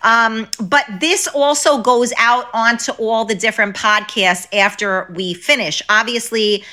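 Bright-voiced chatty New York woman. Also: on the high side, steady tone, unhurried. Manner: chatty, rambling, lots of fillers. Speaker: podcast co-host. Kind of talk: casual live podcast chat.

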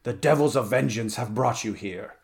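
A man's voice: medium pitch, moderate pace, gravelly, lightly acted. Man voicing a savage, vengeful barbarian swordsman. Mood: furious, gloating. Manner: ranting, cursing, exclamatory. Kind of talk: dramatic audiobook character reading.

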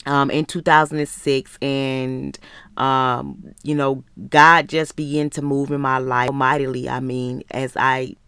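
Female podcast host, thirties, American accent. Low-pitched, smooth and resonant, earnest motivational delivery. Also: level tone, measured pace, fairly bright tone, dry recording, clean studio sound. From Michigan, United States. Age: 30s. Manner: earnest, encouraging, conversational. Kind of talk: personal podcast monologue, faith-based motivational talk.

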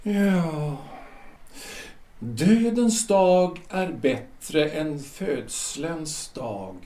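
A man playing a solemn guide for the dead in a radio play, grave and pitying, with old-fashioned phrasing. His fairly high voice rises and falls with a lot of movement. It rings in a roomy, echoing space. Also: animated, warm tone, lightly acted. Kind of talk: audio drama acting. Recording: roomy echo.

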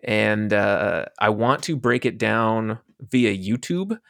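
Man, podcast host, jokey, very lively pitch, dry close sound. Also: takes it slowly, vocal fry, no echo. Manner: animated, jokey, laughs often. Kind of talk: casual podcast chat.

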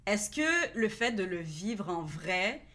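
A woman's voice: medium pitch, sing-song, slow, pushed and bright. A woman hosting a casual chat, asking long, searching questions. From Quebec. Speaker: host.

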